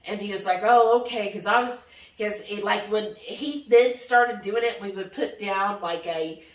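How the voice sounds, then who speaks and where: A woman's voice: medium pitch, unhurried. A woman telling a personal story casually as a vlog host, animatedly acting out the dialogue as she retells it. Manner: animated retelling, often quoting dialogue in acted voices. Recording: roomy echo, distant microphone.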